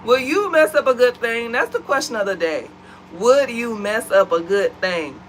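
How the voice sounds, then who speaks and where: A woman's voice: fairly high pitch, animated, normal speed, a little hiss or hum, low-quality recording. An American woman co-hosting a home vlog, chatting animatedly and addressing the viewers as y'all. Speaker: vlog co-host. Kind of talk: casual chat to viewers. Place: at home, seated.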